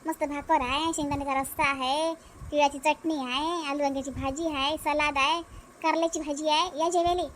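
Casting: female actor as teasing cartoon village woman, Varhadi Marathi accent, extremely high voice, slight background noise. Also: steady tone, normal speed, a bit bright. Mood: playful, chatty. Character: chatty, teasing village women at a picnic lunch. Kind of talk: amateur cartoon comedy dialogue.

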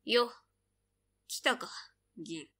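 A woman's voice: on the high side, animated, extremely slow, fairly bright tone, exaggerated voice. A woman voicing a devoted tomboyish young girl in a voice drama, blunt yet tender. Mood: casual, knowing. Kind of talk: Japanese voice drama acting.

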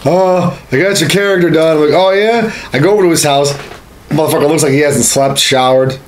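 A man's voice: on the high side, brisk, reverberant, a little hiss or hum. A man in his thirties co-hosting a podcast, telling stories in casual, brash banter full of swearing. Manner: brash, profane. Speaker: podcast co-host. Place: small home studio room. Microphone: desk boom microphone.

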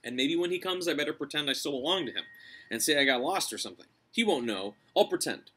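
A brave young girl from a storybook, voiced by a man, pitched up and a little husky. She is coaxing, earnest and deferential, always thinking quickly. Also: lively intonation, fairly bright tone. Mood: coaxing, scheming.

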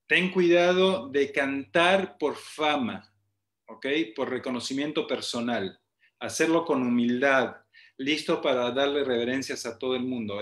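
A man's voice: medium pitch, fairly bright tone. Man teaching a class over video call. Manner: explains with hand gestures, asks the group questions. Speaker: spiritual teacher. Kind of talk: online class reading and explaining scripture. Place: small room with plain wall, video call. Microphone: laptop webcam mic on a video call.

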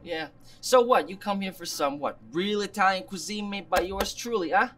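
An actor with an American accent playing a defensive, swaggering brother with worry underneath, in a naturalistic drama. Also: male voice, sits high, normal speed, fairly bright tone. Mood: showy, hearty. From Virginia, United States. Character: defensive adult brother putting on swaggering Italian airs, worried underneath. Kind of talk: naturalistic film dialogue.

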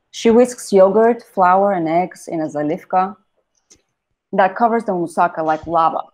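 A woman in her thirties from Bulgaria, reading her own poems in a soft, measured, lyrical way, on a video call from a room at home. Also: on the low side, low-quality recording. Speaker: poet reading her work. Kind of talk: poetry reading. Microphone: video call, laptop camera.

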